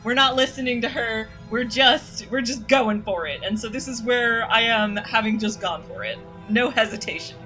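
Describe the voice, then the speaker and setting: A young woman streaming a game, chatty and playful, reacting quickly to the story. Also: a little high, sharp tone, rough recording. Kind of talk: live game commentary. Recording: voice with game music behind.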